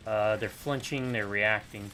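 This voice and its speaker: A man hosting a live gameplay stream, chatty and opinionated, with quick agreeing interjections. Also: fairly low pitch, steady tone, sharp tone, slight background noise. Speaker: gaming channel host. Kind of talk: casual gameplay chat.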